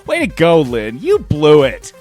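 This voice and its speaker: Animated, higher-pitched American man podcasting. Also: unhurried, soft background music. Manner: animated, sweary. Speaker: podcast co-host. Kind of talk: casual comedic banter.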